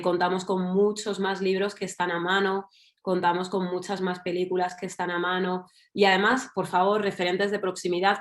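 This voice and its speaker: A woman from Spain with a Madrid accent, speaking as a panelist in an online talk, thinking aloud with fillers and asides. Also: fairly low pitch. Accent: Madrid Spanish. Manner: reflective, thinking aloud with fillers and tag questions. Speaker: panelist writer.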